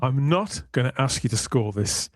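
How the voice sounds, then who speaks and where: A man's voice: mid-range voice, animated, moderate pace, dry recording, clean studio sound. A man hosting a casual fan discussion, conversational and inviting agreement. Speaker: podcast host.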